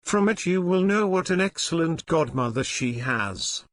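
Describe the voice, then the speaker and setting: Man voicing an earnest poor father, slightly lifted pitch. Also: lively intonation, normal speed, dry recording, natural voice. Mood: comforting, glad. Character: poor, devout young father, earnest and reassuring husband.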